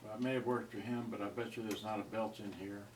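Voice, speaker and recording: A man giving a casual, unscripted gadget review in a halting, rambling way, often trailing off mid-thought. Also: lowish voice, steady tone. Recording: distant mic with clear noise and clicks.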